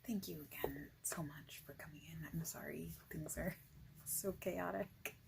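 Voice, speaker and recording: A woman playing a friendly, informal boss in an ASMR interview roleplay, speaking softly and haltingly with whispered phrases. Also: deep voice, steady tone, slight background noise, exaggerated voice, low-quality recording. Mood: casual, welcoming. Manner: halting, casual, soft-spoken, with breathy whispered phrases.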